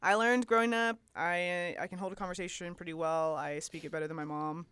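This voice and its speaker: A woman's voice: on the low side, steady tone, brisk, fairly bright tone, clear, resonant tone, no room sound. A woman in her twenties with a Texan accent, a podcast guest chatting casually and laughing easily. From Texas. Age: 20s. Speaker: guest musician.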